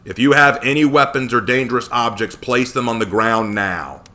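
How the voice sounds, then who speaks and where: A man's voice: mid-range voice, normal speed, pushed and bright, lightly acted. A man voicing a stern, commanding male guide who gives curt orders in a dramatic fantasy story. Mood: firm.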